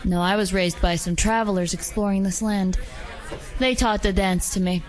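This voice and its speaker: A woman voicing a polite, gentle female werewolf dancer who is secretly an assassin, in a hobby audio drama. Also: medium pitch, fairly even intonation, moderate pace, some chatter behind, close to an everyday voice, rough recording. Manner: polite, gentle, softly coaxing.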